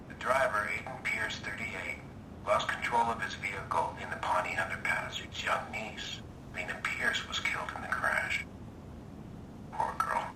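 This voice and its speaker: Scheming veteran hacker for a game, male actor, low breathy voice, bitter and deliberate, echoey audio-log sound. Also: background noise, natural voice. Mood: knowing, ominous. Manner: deliberate, brooding, disdainful.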